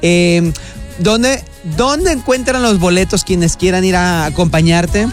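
A man's voice: high-pitched, slow, music in the background. A male morning radio host, playful and chatty as he interviews a guest. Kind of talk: morning radio show banter.

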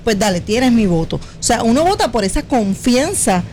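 Female co-host, argues point by point, high voice, light noise behind. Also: very animated, moderate pace, darkish tone. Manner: argumentative, presses questions. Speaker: radio co-host. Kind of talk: radio talk show.

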